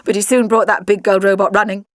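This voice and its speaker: A woman voicing an earnest teenage boy hero with a breathy, urgent manner in an audiobook. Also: medium pitch, little pitch movement, fast, no room sound, studio quality, natural voice. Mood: relieved, explaining.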